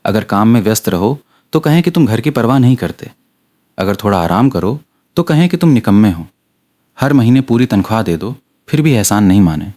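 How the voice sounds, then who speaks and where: A man's voice: fairly low pitch, very animated, brisk, dark tone. A man voicing a lazy, bluntly cynical young man who argues with mock indignation. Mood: indignant.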